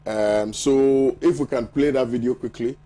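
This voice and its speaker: A man's voice: mid-range voice, steady tone, unhurried, warm tone. A man with a Ghanaian accent hosting a morning TV show, reading viewer messages aloud and bantering with his panel with frequent laughter. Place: TV studio.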